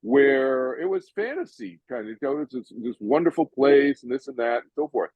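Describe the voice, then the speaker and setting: An older man from Massachusetts hosting an audience Q&A over a video call, chatty and animated, often restarting his sentences. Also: mid-range voice, normal speed, husky, no room sound, narrow, tinny audio. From Massachusetts, USA. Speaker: host answering audience questions.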